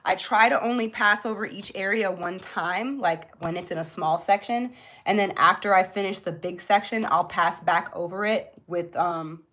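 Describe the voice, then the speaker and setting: A woman speaks at an average female pitch. She is hosting a live beauty tutorial in a casual style. Her delivery is informal and chatty, addressed directly to the people watching. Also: normal speed, a bit bright. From born in Jamaica, grew up in Florida, US. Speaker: beauty livestream host.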